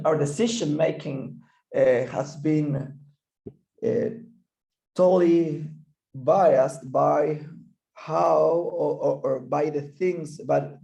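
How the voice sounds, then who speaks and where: A man whose first language is Spanish, speaking English with a Spanish accent, gives an online physiotherapy lecture, often halting and repeating words as he searches for English. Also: fairly high pitch, creaky, dark tone, reverberant. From Chile. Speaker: physical therapist presenter.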